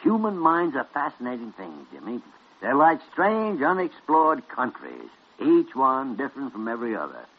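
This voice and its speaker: An actor playing a crusty old senior doctor in 1940s radio drama, gruff, blunt and wry, with a raspy voice. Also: male voice, mid-range voice, wildly swooping pitch, normal speed, exaggerated voice. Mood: musing. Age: older. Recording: muffled old radio recording.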